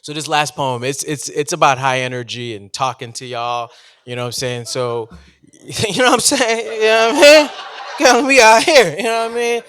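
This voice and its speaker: A man from New City, performing spoken-word poetry live in an animated, playful way and talking directly to his audience. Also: fairly high pitch, normal speed, smooth. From New York City, United States. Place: live event before an audience.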